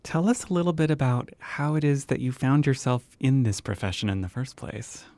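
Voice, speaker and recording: A man hosting a podcast, asking open questions in a relaxed way. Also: mid-range voice, fairly quick, no echo, clean studio sound. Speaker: podcast host. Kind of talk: interview questions.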